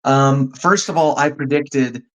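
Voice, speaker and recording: A man's voice: medium pitch, a little slow. A man chatting casually as a podcast panelist, exclaiming and swearing, on a lo-fi microphone. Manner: profane, exclamatory. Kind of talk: casual chat. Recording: dull lo-fi microphone sound.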